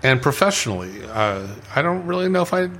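A man's voice: fairly high pitch, very animated, brisk, a bit bright, a little hiss or hum. Man asking interview questions.

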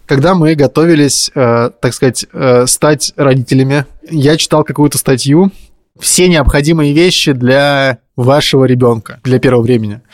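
A man's voice: medium pitch, fairly even intonation, normal speed, no echo, studio quality. A man co-hosting a casual podcast, telling stories in an informal, slangy way. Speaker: podcast co-host. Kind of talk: casual podcast chat, storytelling.

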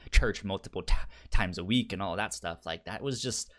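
A man with a fairly low voice chats casually as a podcast co-host. He stutters often, catching and repeating the first sounds of words as he works through his thoughts. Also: normal speed, fairly bright tone. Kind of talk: casual discussion of a TV show.

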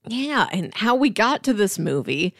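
A woman's voice: medium pitch, very animated, unhurried, fairly bright tone, no echo, clean studio sound. A woman co-hosting a podcast, explaining things about films in a casual, conversational way. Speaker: podcast co-host.

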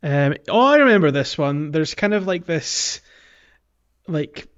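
A man's voice: on the high side, very animated, measured pace, smooth. A man co-hosting a podcast, chatting casually about a game and thinking aloud in a hesitant way. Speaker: podcast co-host. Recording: dull lo-fi audio with music.

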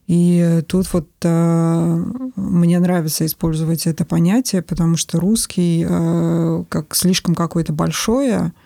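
A woman in her forties from Estonia, talking in a thoughtful, measured way with many pauses on a podcast. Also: on the low side, vocal fry, dark tone, no room sound. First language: Russian. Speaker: podcast guest. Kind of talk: reflective podcast conversation.

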